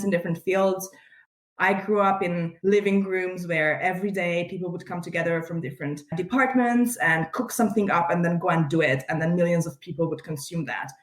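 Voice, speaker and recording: A woman from Hungary, an animated podcast guest who laughs easily and fills her talk with "like" and "you know", speaking over a video call. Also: on the low side. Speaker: guest, community founder. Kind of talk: casual interview chat. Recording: echoey room on a video call.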